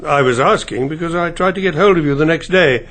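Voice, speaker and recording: An actor playing a discreet, courteous older English family solicitor in a radio drama, speaking with polite, measured caution. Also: male voice, on the high side, a bit bright. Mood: polite, guarded.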